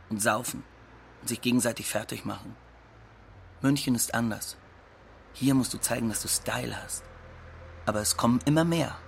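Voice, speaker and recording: An actor playing a cocky, scornful young migrant odd-jobber in a radio drama monologue, blunt and offhand, with a gravelly, husky voice. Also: male voice, mid-range voice, a little hiss or hum, lightly acted.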